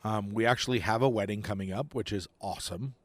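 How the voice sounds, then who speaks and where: A young man co-hosting a casual podcast, chatty and quick to laugh, recorded outdoors on a porch. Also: fairly low pitch, no echo. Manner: chatty, laughs often, talks over his co-host. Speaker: podcast co-host. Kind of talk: casual chat.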